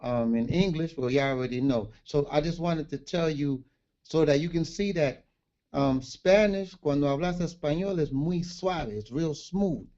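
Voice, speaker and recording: Mellow-voiced New man, lo-fi audio. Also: fairly high pitch, normal speed. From Brooklyn, New York. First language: Garifuna. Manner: relaxed and plainspoken, with street slang. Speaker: guest author. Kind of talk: casual interview on a livestream.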